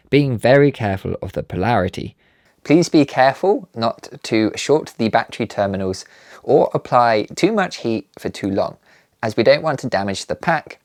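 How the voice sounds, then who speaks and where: A man's voice: mid-range voice, a little mellow, dry recording, studio quality. A man giving scripted, step-by-step DIY tutorial narration in a careful, politely instructional manner. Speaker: presenter of a DIY build tutorial.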